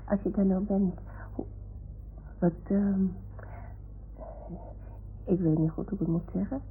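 An actress playing a naive, unsure little toy dog, talking in a childlike, hesitant way in an old radio play. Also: female voice, mid-range voice, fairly even intonation, measured pace, narrow, tinny audio, natural voice. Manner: childlike, hesitant, searching for words. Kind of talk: 1960s-70s Dutch radio play acting. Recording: thin, noisy old radio recording.

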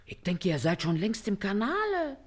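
An actress playing a shrewd, bossy working-class mother in middle age in a radio play, scolding briskly with a Berlin accent. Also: medium pitch, huge pitch swings. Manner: brisk, scolding, plain-spoken. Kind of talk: radio play dialect comedy acting.

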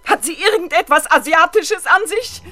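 A woman's voice: extremely high pitch, moderate pace, bright, forceful tone. A woman playing a proud middle-aged mother-in-law facing police questions in a 1970s German radio crime play. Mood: indignant, wounded. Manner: chatty, then sharply indignant.

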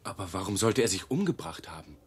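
An actor playing an inquisitive young novice monk in a radio drama, eagerly questioning. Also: male voice, low voice, big pitch swings, fast, slightly rough, exaggerated voice. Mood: curious.

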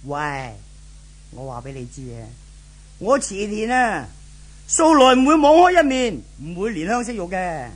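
Cruel, theatrical Cantonese brothel boss. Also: male voice, high voice, big pitch swings, normal speed. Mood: sneering menace. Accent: Hong Kong Cantonese. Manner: sneering, theatrical, punctuated with grunts and questioning barks. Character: cruel, menacing brothel boss who bullies captives. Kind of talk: 1970s radio drama acting.

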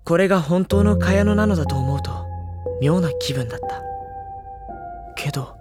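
Teenage schoolboy lead and narrator, acted, audio drama: courteous, matter-of-fact, reflective, set over clear background music. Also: mid-range voice, animated, normal speed, close to an everyday voice. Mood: pensive. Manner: polite, restrained, matter-of-fact. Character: polite, level-headed teenage schoolboy, quietly reflective narrator. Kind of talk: Japanese audio drama acting and first-person narration. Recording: studio drama with music behind.

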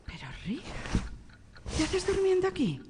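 A woman's voice: very high voice, wildly swooping pitch, measured pace, big-room sound, noisy background, heavily acted. An actress playing a volatile mother of a young girl in a radio drama. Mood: drowsy, subdued.

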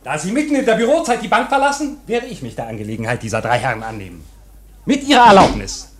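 An actor playing a sly, smug deputy bank director in a German radio play, over-polite and needling. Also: male voice, high-pitched, wildly swooping pitch, quick pace, a little hiss or hum. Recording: echoing room sound.

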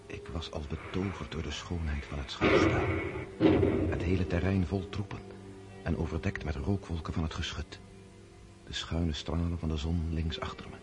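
Radio drama: awestruck count-narrator, deep-pitched, lyrical and descriptive, music underneath. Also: male voice, steady tone, normal speed. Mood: awed, reflective. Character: Pierre, awestruck count recounting a battle he witnessed. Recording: music behind the voice.

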